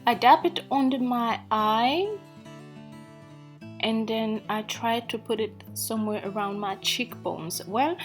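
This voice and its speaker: A woman from Africa speaks English with an accent shaped by years in Norway. She takes her time, pausing often and filling gaps with um and you know as she talks through a makeup routine. Soft guitar music plays faintly underneath. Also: a little high. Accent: African English with Norwegian influence. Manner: slow, hesitant, self-deprecating, many fillers. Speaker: beauty vlogger. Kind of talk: casual makeup tutorial.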